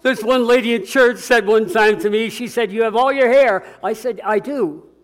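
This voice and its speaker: An older man preaching a sermon to a church congregation, booming and emphatic, slowing down to stress key words. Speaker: pastor.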